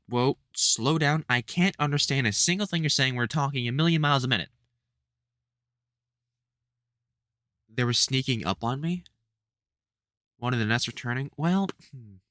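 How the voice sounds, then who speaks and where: A man with a British accent playing a caring veteran vampire hunter in an audio roleplay, halting and sweary, exasperated but gentle. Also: mid-range voice, dry recording, studio quality. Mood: confused, probing. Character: gruff, caring veteran male vampire hunter, protective and worried. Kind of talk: audio roleplay, speaking to an unheard listener.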